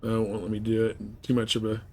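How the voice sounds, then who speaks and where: A man's voice: fairly low pitch, monotone, fast. A man chatting casually with viewers as he live streams a game. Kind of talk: casual chat over gameplay.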